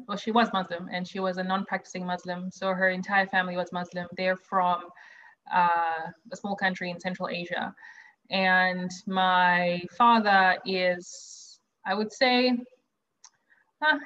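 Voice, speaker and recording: A young woman from Zimbabwe tells her own story over a video call, chatty and candid, often pausing on fillers and restarting her sentences. Her voice sits at an ordinary pitch for a woman. The call audio is a little dull and lo-fi. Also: a bit bright. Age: young adult. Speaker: guest. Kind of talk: personal testimony on a video call.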